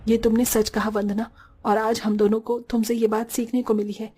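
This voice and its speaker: A woman's voice: on the high side, little pitch movement, moderate pace, warm tone, husky. A woman voicing a bossy, gossipy but caring older mother-in-law in a Hindi cartoon story. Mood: kindly.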